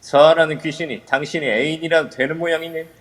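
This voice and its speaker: A man's voice: fairly high pitch, fairly even intonation, quick pace, heavy background noise, natural voice. A man playing a defiant hero in an amateur audio drama, confrontational and demanding as he accuses his foe. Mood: accusing. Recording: long reverb throughout.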